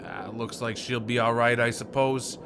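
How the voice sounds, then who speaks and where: A man's voice: mid-range voice, normal speed, vocal fry, fairly bright tone, slight background noise. An actor playing a helpful, flustered male bystander in a radio drama, speaking hesitantly.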